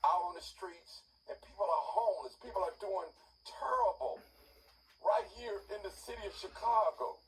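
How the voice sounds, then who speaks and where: A man's voice: high voice, lively intonation, moderate pace. A man hosting a live unscripted talk show, earnest and insistent, repeating his points like a preacher. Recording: distant mic with background noise.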